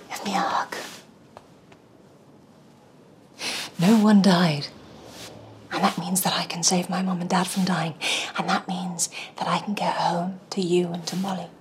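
An actress playing a determined woman police detective in a TV drama, shouting urgently at times and speaking in hushed tones at others. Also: fairly low pitch, a little hiss or hum. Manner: frantic shouting, then hushed and intimate. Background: music score.

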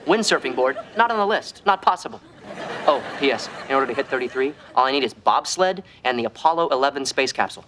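A man's voice: medium pitch, animated, fast talker, fairly bright tone, slight background noise, natural voice. An actor playing a brash, boastful young American womanizer, speaking in a cocky, emphatic sitcom style. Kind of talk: sitcom acting.

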